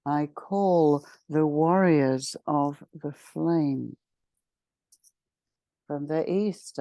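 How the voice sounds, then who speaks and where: Very slow, mellow woman leading meditation. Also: low voice, no room sound. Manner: solemn, measured, ceremonial, with long pauses between short phrases. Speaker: guided meditation leader. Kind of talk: guided meditation, spiritual invocation and channelled message.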